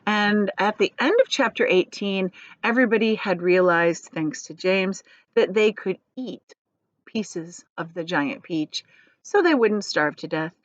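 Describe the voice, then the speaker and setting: A woman's voice: mid-range voice, measured pace, dry recording, dull audio. A woman reading a children's book aloud as a teacher would, expressively, adding explanations for her listeners.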